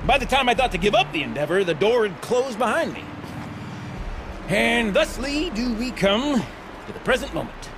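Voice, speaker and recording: Theatrical, excitable, high-pitched old eccentric. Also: male voice, normal speed, background noise, full character voice. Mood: sheepish, rambling. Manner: theatrical, wordy, grandiloquent. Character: eccentric, excitable older man, rambling self-taught tinkerer. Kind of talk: video game character acting.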